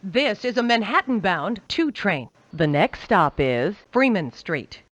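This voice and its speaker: Woman train announcer, lively pitch swings. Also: no echo. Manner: measured, formulaic announcer delivery. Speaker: transit announcer.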